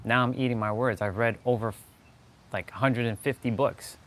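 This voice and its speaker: Canadian guy doing off the cuff motivational livestream, mid pitch, insistent, repeats himself, some background noise. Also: steady tone, moderate pace, fairly bright tone. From Toronto, Canada. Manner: emphatic, insistent, repeats phrases for stress. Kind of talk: impromptu motivational monologue. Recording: clearly noisy outdoor ambience.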